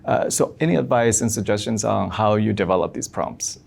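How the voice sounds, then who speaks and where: A man with a fairly low, creaky voice moderates an online conversation. He puts his questions haltingly, piecing them together in short phrases as an interviewer. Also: darkish tone. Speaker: interviewer, academic host.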